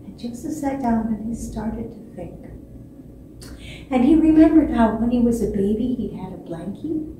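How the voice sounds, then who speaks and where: A woman in her sixties telling a children's story expressively, with dramatic pauses and repetition. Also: fairly high pitch, mellow. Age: 60s. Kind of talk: animated children's storytelling from memory. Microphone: distant camera microphone. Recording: echoey stage, distant mic, faint noise.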